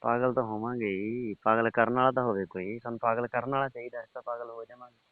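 A man's voice: medium pitch, steady tone, fast talker, no echo. A middle-aged man, having a casual private chat over the telephone. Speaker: uncle on a phone call. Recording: tinny, band-limited phone call recording.

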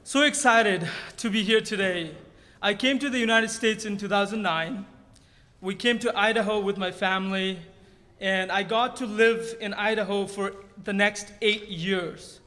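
A man shares his story from a church pulpit. His voice sits high for a man, and it rings out with a long reverb through the big sanctuary. He speaks earnestly, with touches of humor and frequent restarts. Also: unhurried, bright, forceful tone. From Nepal. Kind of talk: personal testimony from a pulpit. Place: large church sanctuary stage. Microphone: podium microphone.

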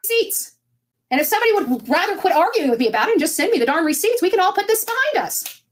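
A middle-aged woman with an extremely high voice talks on a livestream. She argues her case insistently, in a combative way. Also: very animated, fast talker. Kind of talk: argumentative livestream monologue.

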